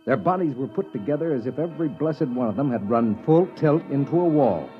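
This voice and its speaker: Wry male space surveyor played by a man, narrating 1950s radio sci-fi. Dry yet highly inflected; muffled vintage sound, music behind. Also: animated, brisk, natural voice. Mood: wry, vivid. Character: wry, matter-of-fact male space surveyor with a stomach ulcer. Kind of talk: 1950s radio drama first-person narration. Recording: dull vintage radio recording.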